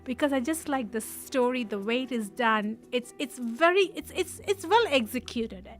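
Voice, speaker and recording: A woman hosting a radio show, chatting casually in an animated, emphatic way; her is Telugu. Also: high voice. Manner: animated, emphatic, quick asides. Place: radio studio.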